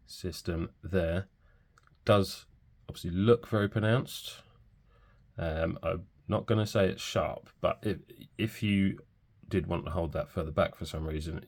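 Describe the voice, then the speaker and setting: A man in his forties talks through a fountain pen review at an easy, halting pace, pausing often on fillers. His voice sits very low with a rough, gravelly edge. He sounds a little bunged up from a cold. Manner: relaxed, hesitant, many fillers. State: stuffy from a cold. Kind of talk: casual product review.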